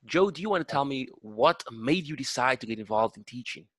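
A man hosts a podcast interview in a measured, encouraging tone. His voice sits at a middle pitch. The sound is dry and close. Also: expressive, fairly bright tone, no echo. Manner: measured, encouraging, uses um fillers. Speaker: podcast host.

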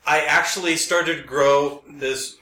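A middle-aged man co-hosting a podcast, telling casual stories in an animated, jokey, self-deprecating way. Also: fairly high pitch, a little slow. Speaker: podcast co-host. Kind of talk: casual storytelling chat. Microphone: podcast microphone. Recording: roomy, echoey room sound.

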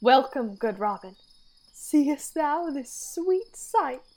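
A woman playing a commanding fairy king in an amateur Shakespeare radio play, declaiming verse in a measured way. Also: sits high, very animated, darkish tone, heavily acted. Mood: pitying. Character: commanding, scheming fairy king, regal and composed.

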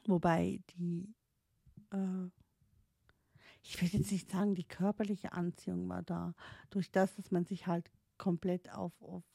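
Swiss-accented woman in her 30s, not a native german speaker, low mellow gravelly voice, stops and restarts a lot, dry close mic. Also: little pitch movement, measured pace, no echo. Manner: hesitant, many fillers and restarts, thinking aloud. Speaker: streamer. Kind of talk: reflective personal storytelling.

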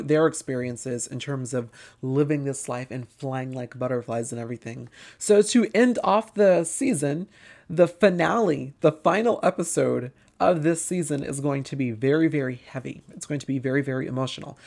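A woman hosting a casual podcast, her voice raspy and tired, talking in a rambling, halting way with frequent pauses. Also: low voice, darkish tone. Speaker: podcast host.

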